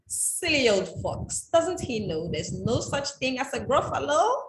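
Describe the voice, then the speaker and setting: A woman voicing a cunning little male mouse from a storybook, with slow, gloating storybook delivery. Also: high voice, very animated, pitched above the performer's own voice, exaggerated voice. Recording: echoey room with noise.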